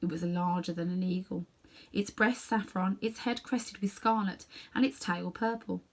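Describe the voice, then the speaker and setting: A woman narrating a children's story as a reader, with expressive, dramatic delivery. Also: lowish voice, normal speed.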